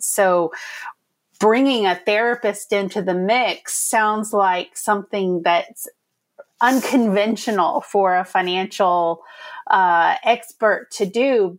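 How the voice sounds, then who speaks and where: A woman hosting an interview, speaking as an attentive, agreeable listener. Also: medium pitch. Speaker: podcast host. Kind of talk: interview chat.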